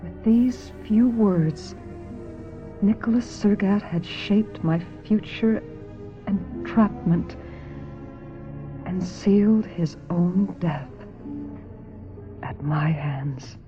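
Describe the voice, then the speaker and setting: An actress in a radio drama playing a gentle, ambitious young star, with measured and dramatic delivery. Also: female voice, lowish voice, music in the background. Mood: wistful, rueful. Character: gentle, ambitious actress looking back, guarded and willing. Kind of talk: radio drama acting with confessional narration. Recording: dull old radio recording.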